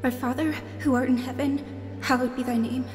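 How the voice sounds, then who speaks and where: A woman plays an anxious young girl in a radio drama, earnest and devout. Her voice is high and rings in a long, hall-like reverb, with music underneath. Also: fairly even intonation, fairly quick, lightly acted. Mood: anxious, pleading.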